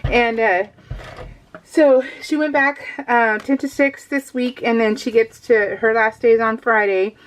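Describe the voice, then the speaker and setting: A woman's voice: a little high, fairly even intonation, unhurried, slight background noise, rough recording. A middle-aged woman chatting casually as a craft vlogger, giggly and easily sidetracked as she rambles along. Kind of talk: casual chat, rambling vlog.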